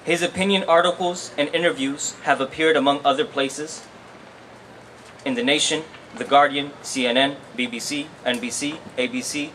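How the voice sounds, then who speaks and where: A man's voice: fairly high pitch, fairly even intonation, normal speed, fairly bright tone. A man acting as event emcee, making announcements and reading out a formal introduction. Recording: noisy live event recording.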